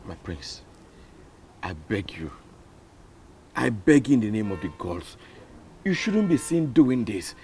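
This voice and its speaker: An actor playing a humble male commoner loyal to his prince, halting and repeating himself as he pleads in a royal drama. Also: high-pitched, expressive, soft background music. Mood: pleading. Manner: halting, beseeching, repetitive. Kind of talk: slow, emotional film drama acting.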